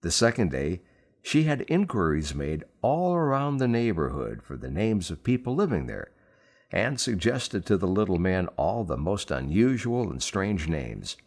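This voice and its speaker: A man reading a fairy tale aloud in an expressive, theatrical storybook manner with emphatic phrasing. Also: deep voice, measured pace. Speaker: storyteller, radio personality.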